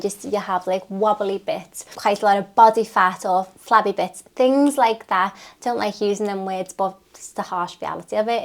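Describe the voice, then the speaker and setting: A British woman in her thirties talks straight to the camera in a loose, rambling way, stringing together lists as she goes. Her voice sits at an ordinary middle pitch, and she sounds sniffly and a little chesty from a cold. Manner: chatty, rambling, listing things off. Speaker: nutritionist and personal trainer. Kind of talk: unscripted chat to camera. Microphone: talking to camera.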